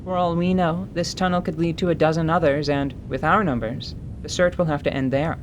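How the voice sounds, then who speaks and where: A woman voicing a wry, commanding female mercenary leader in radio theatre, deliberate and deadpan. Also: low-pitched, background noise, natural voice. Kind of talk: radio theatre character acting.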